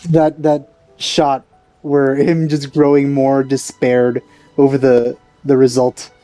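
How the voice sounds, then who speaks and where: Male gaming host, relaxed dark tone, medium pitch, casual reactive commentary. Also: slow pace, no echo, faint music behind, poor audio. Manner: informal reactions, musing aloud. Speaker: let's play host.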